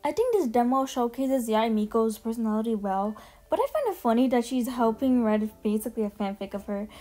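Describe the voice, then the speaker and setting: A woman giving casual, chatty commentary as a video host, informal and quick to swear. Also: fairly high pitch, animated, warm tone. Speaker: video host ranking game character demos. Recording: music behind the voice. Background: background music.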